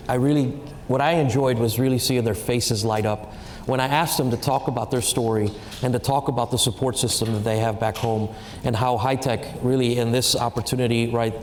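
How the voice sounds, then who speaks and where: A man moderating a live panel on stage, talking in a relaxed, halting way with fillers and restarts. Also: medium pitch. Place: event stage in a large hall. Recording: reverberant hall with faint noise.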